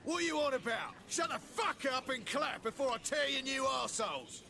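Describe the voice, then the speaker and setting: An actor playing a crude, rowdy, defiant tough in a fantasy game, shouting his lines. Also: very high-pitched, animated, a little fast, gravelly, a little hiss or hum, heavily acted. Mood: aggressive. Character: foul-mouthed, rowdy defiant tough man.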